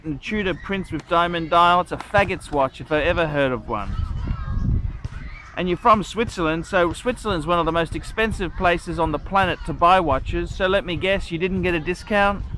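A man's voice: on the high side, pushed and bright, slight background noise. A middle-aged man with a slightly raised voice speaks outdoors on a lapel mic, faint outdoor ambience behind him. He rambles crudely and bluntly, swearing freely and restarting phrases. The tone is a casual, unpolished vlog rant. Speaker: watch channel host. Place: outdoors in a park on grass.

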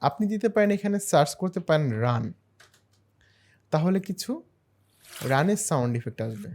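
A man narrating a screen-share software tutorial in a step-by-step, explanatory way. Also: fairly high pitch, animated, moderate pace. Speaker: tutorial host.

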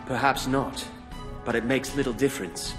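A man's voice: mid-range voice, steady tone, normal speed, close to an everyday voice. A man acting a proud, idealistic young lord in a game cutscene, speaking formally. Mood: composed. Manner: formal, composed, impassioned about his country. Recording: hall reverb, music behind.

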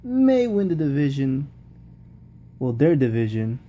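A man's voice: medium pitch, sing-song, a little slow, mellow, dull audio, slight background noise. A man giving casual, rambling post-game football commentary, often repeating himself and restarting sentences. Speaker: football vlogger.

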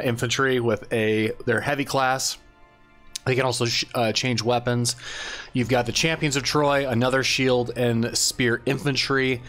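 A man's voice: mid-range voice, fairly bright tone. A man hosting a gaming channel, giving casual commentary and explaining a strategy game step by step, unsure of how to say some names.

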